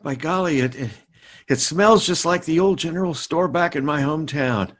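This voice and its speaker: A man's voice: fairly high pitch, normal speed, fairly bright tone. An actor playing a flustered, well-meaning middle-aged father who stammers, in a radio sitcom. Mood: cheerful. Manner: stammering, harried.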